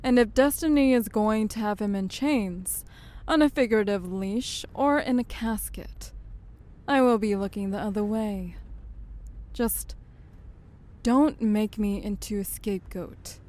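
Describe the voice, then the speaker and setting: A woman's voice: medium pitch, a little slow, a little mellow, lightly acted. A woman acting as a tough, sly, self-assured syndicate enforcer in an audio drama, with a taunting manner. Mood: sly amusement.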